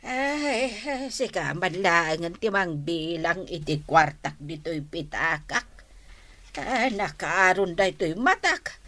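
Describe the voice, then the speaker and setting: A woman's voice: fairly low pitch, expressive, takes it slowly, fairly bright tone, full character voice. A woman playing a frail, talkative old grandmother with a Filipino accent in a comedy radio drama. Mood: chatty, grateful. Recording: noisy radio drama recording.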